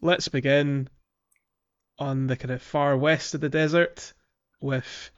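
Male podcast host for casual game talk, playful, medium pitch, slightly lo-fi recording. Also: steady tone, slow, clean tone.

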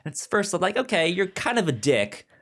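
A man hosting a podcast in casual, opinionated recap chat, animated, with a frequent stutter that repeats the first sounds of words. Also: high voice, brisk. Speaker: podcast host.

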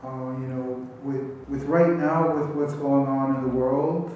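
A man from an amateur cast talking casually and reflectively in a halting, plain-spoken way. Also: medium pitch, fairly even intonation, a little mellow, highs cut off. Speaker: amateur radio play cast member. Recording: very echoey, distant, noisy room.

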